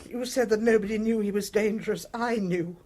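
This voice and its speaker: A woman's voice: medium pitch, a little fast, dark tone, close to an everyday voice. A woman playing a distressed genteel lady in a radio play, hesitant, sorrowful and shaken. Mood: resigned.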